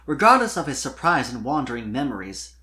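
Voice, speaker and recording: Fan fiction narrator, medium pitch, scripted storytelling, dramatic delivery. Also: very animated, brisk, bright, forceful tone.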